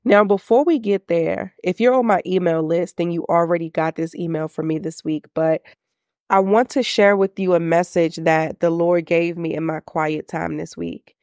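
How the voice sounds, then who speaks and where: A woman's voice: fairly low pitch, steady tone, moderate pace, a little mellow, no room sound, studio quality. Congested, nasal woman podcast host. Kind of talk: faith-based business podcast talk.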